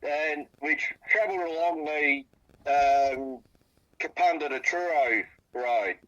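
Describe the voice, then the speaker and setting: An Australian man from South Australia, speaking over a phone on speaker and describing what he saw in a halting way, often repeating his words. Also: a little high, fairly bright tone, dry recording, muffled recording. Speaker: interviewee, eyewitness. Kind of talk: phone interview.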